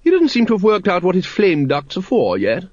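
A man's voice: sits high, wildly swooping pitch, a little fast, darkish tone, dry recording, highs cut off, pitched above the performer's own voice, heavily acted. A man voicing a hearty, gushing middle-aged aristocratic lady with plummy upper-class manners for an audiobook. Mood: gracious, breezy.

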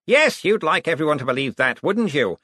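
A man's voice: a little high, very animated, a little fast, pushed and bright, dry recording, clean studio sound, pitched above the performer's own voice, heavily acted. A man voicing a swaying, unhinged man who slurs as if his tongue were thick. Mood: accusing.

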